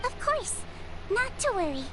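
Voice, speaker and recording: A woman voicing a gentle, earnest young girl who works as a head nurse in a video game, polite and caring. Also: extremely high pitch, very animated, unhurried, very noisy, heavily acted. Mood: gentle, confiding.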